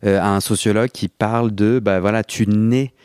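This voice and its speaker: Man, late thirties, Parisian accent, low voice, dry close sound, reflective podcast host. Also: moderate pace, clear, resonant tone, no echo. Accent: Parisian French. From mainland France. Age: 30s. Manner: reflective, animated, swearing casually. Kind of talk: intimate podcast interview.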